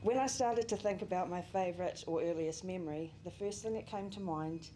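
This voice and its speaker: Nz woman giving a eulogy, lowish mellow voice, bit of hiss behind. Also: brisk, poor audio. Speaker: family friend giving a eulogy. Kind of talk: reading a memorial speech. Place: memorial service venue.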